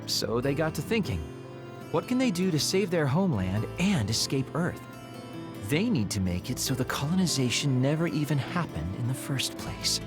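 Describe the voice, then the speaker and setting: A man's voice: medium pitch, big pitch swings, moderate pace, lightly acted. Man acting guarded young man, wry, defiant, swinging intonation, game dub, music underneath. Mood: scornful, explaining.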